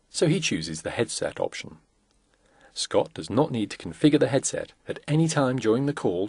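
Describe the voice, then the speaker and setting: A man reading scripted corporate narration for a product demo in a measured, instructional way. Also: on the low side, creaky, darkish tone, breathy edge, dry recording, studio quality.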